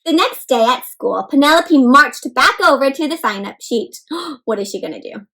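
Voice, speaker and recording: A woman's voice: high-pitched, normal speed. A woman reading a storybook aloud to young children, expressively and interactively, with encouraging questions in simple words. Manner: expressive, gasps and asks listeners questions. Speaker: read-aloud host.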